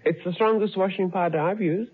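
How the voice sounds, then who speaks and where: Husky woman in an old radio ad. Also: mid-range voice, fairly quick, phone-quality sound. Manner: chatty, homely testimonial. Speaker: ad testimonial speaker.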